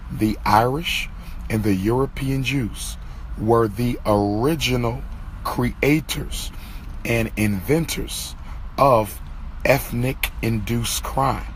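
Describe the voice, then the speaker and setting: A hoarse man giving an impassioned monologue to his followers, stressing and repeating his key phrases. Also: medium pitch, slight background noise, low-quality recording. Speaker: lecturer.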